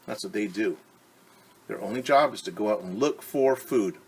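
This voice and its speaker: A man teaching young children, reading a picture book aloud and gently asking them questions. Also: fairly low pitch, moderate pace, breathy edge. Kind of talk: children's story time read-aloud.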